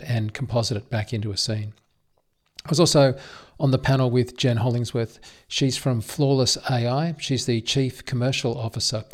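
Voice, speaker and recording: An Australian man hosting a podcast, setting up a recording of a live panel. He speaks casually and hesitantly, often reaching for words with fillers. His pitch sits in an everyday middle range. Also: a little mellow. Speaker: podcast host. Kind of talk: casual spoken introduction.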